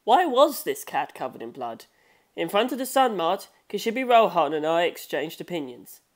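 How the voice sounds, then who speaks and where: A woman's voice: on the low side, big pitch swings, moderate pace, a little mellow. A woman reading a scripted story aloud as an audiobook narrator. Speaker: audiobook reader.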